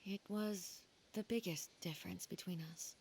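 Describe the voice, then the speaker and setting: A woman voicing a regal female ruler-goddess in a video game, speaking in a measured, formal, reflective way. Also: on the low side, fairly even intonation, gravelly, a little mellow, slight background noise.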